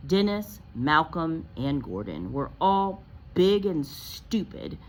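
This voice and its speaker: A woman teacher reading a children's novel aloud and explaining it to pupils in an expressive, instructional way. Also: low voice, slight background noise, poor audio. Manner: expressive, asks questions and explains as to young pupils.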